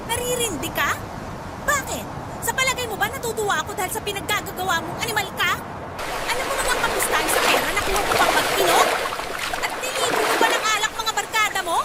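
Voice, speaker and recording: A woman's voice: very high-pitched, lively intonation, fast. An actress playing a fed-up, sarcastic Filipino wife and mother, scolding emotionally in a radio drama. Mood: sarcastic, angry. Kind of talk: Tagalog radio drama acting. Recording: loud music and crowd noise behind.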